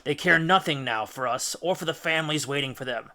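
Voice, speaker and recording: A man's voice: fairly high pitch, brisk, sharp tone. A man voicing a bold ship's captain who rallies and commands his men in a storybook reading. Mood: commanding. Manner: commanding, rallying.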